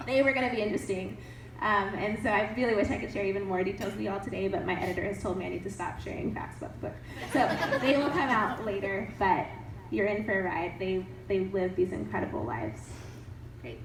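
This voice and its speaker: Young woman author taking audience questions, animated and upbeat, heard from a distance in an echoey hall with faint background noise. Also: medium pitch. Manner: animated, laughs often, upbeat. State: has a cold. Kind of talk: casual Q&A talk. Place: event hall.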